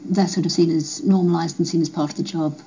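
A British woman, a nurse, giving measured, slightly hesitant answers in a radio interview, dull through a radio speaker. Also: deep voice, fairly even intonation, warm tone.

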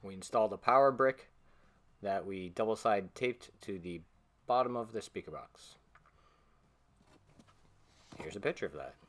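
Deep-voiced young man explaining a build. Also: animated, measured pace, long pauses, music in the background. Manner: plain, matter-of-fact with fillers. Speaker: co-host of a DIY video. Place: home garage workshop.

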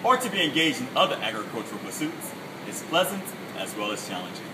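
A man's voice: mid-range voice, big pitch swings, quick pace. A middle-aged man giving a formal recitation of a creed. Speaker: former national youth organization officer. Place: plant-filled greenhouse. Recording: roomy, distant, loud ventilation noise.

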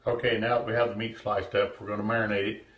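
A man in his sixties giving a casual, halting cooking demonstration as host, recorded from a distance in a home kitchen. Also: fairly low pitch, level tone, lo-fi sound. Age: 60s. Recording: echoey kitchen, distant mic, faint noise.

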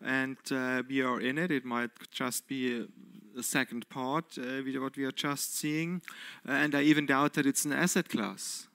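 A man's voice: mid-range voice, steady tone, moderate pace, a bit bright, dry recording. Man, 40s, German accent, panel speaker, headset mic, hesitant, dry. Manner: hesitant, many fillers, explaining a chart. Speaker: panelist, investment expert. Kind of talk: panel presentation. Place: conference stage.

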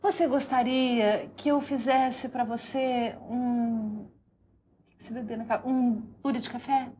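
Woman hamming up a melodramatic lovesick radio-soap heroine, high-pitched. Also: steady tone, mellow, slight background noise, natural voice. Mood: tender, anxious. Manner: breathy gasps and drawn-out dramatic exclamations. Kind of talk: improvised parody radio soap acting.

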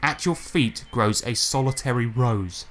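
A man's voice: medium pitch, fairly bright tone, a little hiss or hum. Man narrating a tabletop game. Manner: measured, descriptive storytelling. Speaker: game master.

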